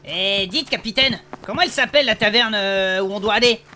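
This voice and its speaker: A man's voice: high voice, brisk, sharp tone. A man playing a nervous, easily exasperated fantasy adventurer who grumbles and hesitates, in a comic audio drama. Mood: impatient, casual.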